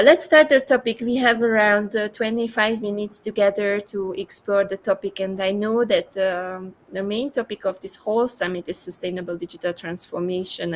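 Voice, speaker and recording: Enthusiastic woman hosting an online summit. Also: mid-range voice. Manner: warm enthusiastic host with frequent fillers. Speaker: summit host. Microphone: video call.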